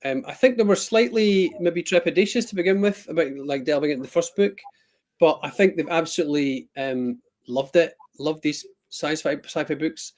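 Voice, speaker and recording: A man's voice: a little high, normal speed, a little mellow. A man with a Scottish accent, a guest author chatting casually on a video call, rambling and self-deprecating with lots of ums and restarts. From Scotland. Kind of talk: casual live-stream chat.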